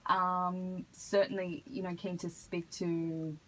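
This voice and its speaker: Female radio guest, Australian, hip hop artist. Slightly low, husky delivery, conversational. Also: little pitch movement, slow, fairly bright tone, rough recording. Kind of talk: casual radio interview chat. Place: radio studio.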